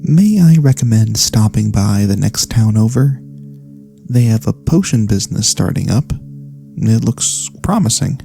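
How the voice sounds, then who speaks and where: A man playing a gentle elf cleric in a soft-spoken ASMR fantasy roleplay, speaking in a soothing, courteous, slightly formal way. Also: fairly low pitch, animated, a little slow, gravelly, soft background music. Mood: calm, kindly. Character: gentle, caring male elf cleric healer, pacifist. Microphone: close studio USB microphone.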